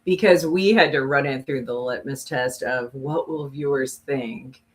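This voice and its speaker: A woman co-hosting a podcast, speaking in a measured, reflective and supportive conversational way. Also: very deep voice. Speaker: podcast co-host, former news colleague.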